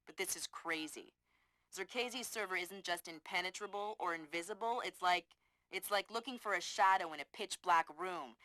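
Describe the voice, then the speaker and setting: A woman's voice: fairly low pitch, pushed and bright, dry recording, poor audio. An actress playing a focused female intelligence analyst and hacker in a video game, with an earnest, technical way of talking. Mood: frustrated.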